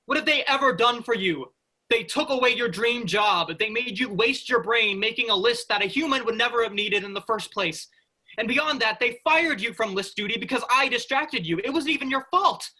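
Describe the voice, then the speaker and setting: A man's voice: extremely high pitch, lively intonation, normal speed, pushed and bright, full character voice. A man playing a rebellious, cocky spaceship worker who distrusts robots, with a pressing, persuasive manner in a staged play reading. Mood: urgent, pleading.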